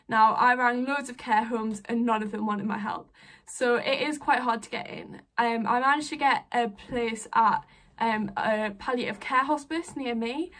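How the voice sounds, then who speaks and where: A young woman, a student giving casual advice to camera from a small bedroom. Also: a little high. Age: young adult.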